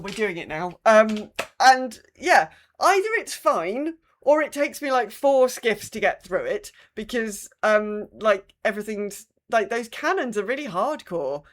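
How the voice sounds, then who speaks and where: A woman's voice: fairly high pitch, a bit bright. Blunt woman co-hosting a casual podcast. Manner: blunt, exasperated griping with swearing. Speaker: podcast co-host. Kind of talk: casual chat about a video game.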